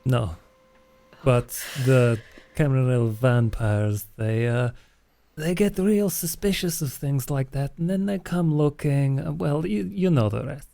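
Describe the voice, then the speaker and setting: A man's voice: medium pitch, unhurried, gravelly, mellow. A man playing a nervy, streetwise vampire in a role-play podcast, speaking in a hesitant, wry, colloquial way. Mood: wry, uneasy. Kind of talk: in-character tabletop role-play.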